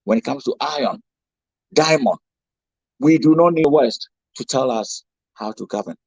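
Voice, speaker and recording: A man's voice: medium pitch, moderate pace, gravelly, darkish tone, slightly rough, no room sound, highs cut off. A man from Ghana with a Ghanaian accent, giving a rousing speech as a guest speaker, full of rhetorical questions and repetition. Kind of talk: impassioned speech.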